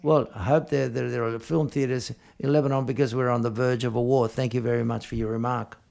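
A man interpreting live at a film press conference, speaking in halting, piecemeal phrases and often correcting himself. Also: mid-range voice, little pitch movement, creaky, darkish tone.

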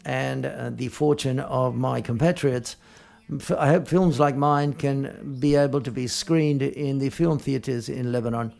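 A male interpreter with a creaky, relaxed voice at a middling pitch. He renders press conference remarks live, in piecemeal phrases with frequent self-corrections. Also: measured pace.

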